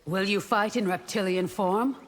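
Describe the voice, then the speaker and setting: A woman's voice: mid-range voice, steady tone, normal speed, a little hiss or hum, lightly acted. An actress playing a disciplined, confident female warrior in a video game, firm and coolly challenging. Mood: assured. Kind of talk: video game fight banter, dramatic voice acting. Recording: long hall reverb.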